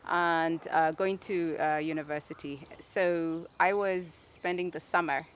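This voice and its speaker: A middle-aged woman, co-owner of a restaurant, talking in a relaxed way in an interview. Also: sits low, unhurried, a little hiss or hum. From Kenya. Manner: relaxed, laughs easily. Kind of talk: casual interview.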